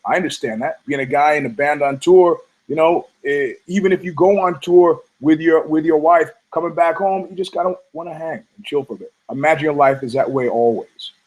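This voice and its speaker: Male podcast host, hoarse and husky, slightly high-pitched, loose and profane, rambling monologue. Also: low-quality recording. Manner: rambling, profane, frequent restarts.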